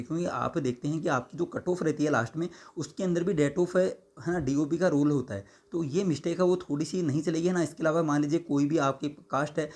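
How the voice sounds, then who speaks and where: A hoarse man, explaining exam registration while answering viewer comments and tagging his sentences with "है ना" and "ठीक है". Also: fairly high pitch, a little mellow. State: hoarse, sore throat. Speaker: exam-prep YouTuber.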